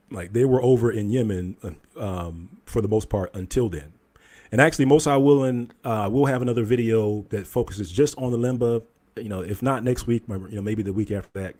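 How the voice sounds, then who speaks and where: A man's voice: mid-range voice, mellow. A man hosting a channel, talking casually over video clips and addressing his viewers as family. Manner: loose, informal, addresses viewers as fam. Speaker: commentary host.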